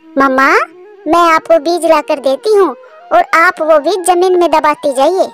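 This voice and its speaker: Lady doing a tiny curious kid bird, super high cartoon voice, music behind. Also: unhurried, a bit bright. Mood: curious, eager. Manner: childlike, inquisitive. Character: eager little daughter bird. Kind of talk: Hindi children's cartoon voice acting.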